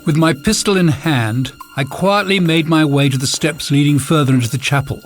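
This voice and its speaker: A man's voice: fairly high pitch, moderate pace. Suspenseful male audiobook narrator over music. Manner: suspenseful, theatrical. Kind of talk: dramatic first-person mystery narration. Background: music score.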